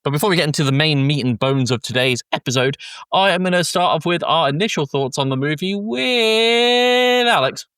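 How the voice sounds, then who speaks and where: Bright-voiced man hosting, dry sound. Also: on the high side, moderate pace, smooth, no room sound. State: sick. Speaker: podcast host. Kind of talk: casual film discussion.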